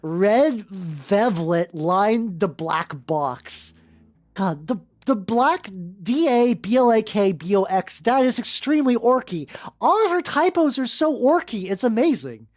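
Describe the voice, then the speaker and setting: A woman's voice: medium pitch, lively intonation, unhurried, darkish tone. A woman streamer with a playful, joking manner, chatting casually and reading fanfiction aloud for comic effect. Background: background music.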